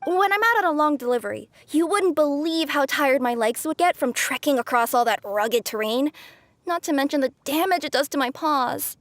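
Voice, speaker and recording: Game casting: eager, polite cat-girl courier, extremely high-pitched, earnest and peppy. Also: animated, moderate pace, no room sound. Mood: cheerful. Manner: eager, bright-spirited, earnest. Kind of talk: video game cutscene acting.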